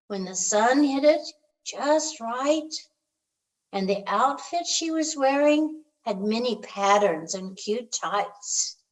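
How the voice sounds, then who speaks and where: A woman reads a children's storybook aloud in a gentle, expressive storytelling manner, drawing out the ends of phrases. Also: mid-range voice, rough recording. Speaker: storybook reader.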